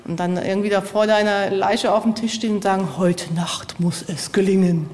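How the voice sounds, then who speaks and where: A woman's voice: mid-range voice, steady tone, normal speed, darkish tone, slight background noise. A woman speaking as a guest author in casual discussion, enthusiastic and often tagging her sentences with a questioning "ja?". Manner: enthusiastic, tag-question "ja?" endings. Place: historic castle site. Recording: long reverberant hall.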